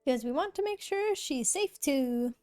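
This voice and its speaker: A woman's voice: sits high, animated, unhurried, darkish tone, no room sound, soft background music. A woman voicing a plucky, casual adventurer in a video game, with a breezy way of talking. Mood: determined.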